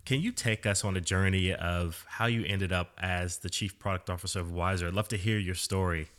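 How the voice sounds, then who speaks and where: Male podcast host, low voice, measured interviewer with long framing questions. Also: fairly bright tone.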